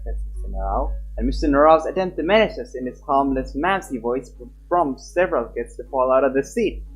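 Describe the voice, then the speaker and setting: A streamer reading a visual novel aloud live and chatting casually with viewers. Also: male voice, medium pitch, husky, soft background music, rough recording. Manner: animated, chatty, quick laughs.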